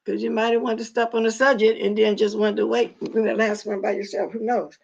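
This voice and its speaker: A middle-aged woman with a raspy voice hosting a casual livestream, talking bluntly and straight to her chat. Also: a little high, flat delivery, brisk, darkish tone. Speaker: livestream host. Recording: dull lo-fi livestream audio.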